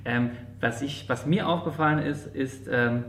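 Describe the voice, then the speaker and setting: A man's voice: mid-range voice, a little slow, fairly bright tone, a little hiss or hum. A man talking casually about films, hesitant and often rephrasing himself as he goes. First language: German. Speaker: podcast co-host, English teacher. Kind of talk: casual film discussion. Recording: echoey room sound.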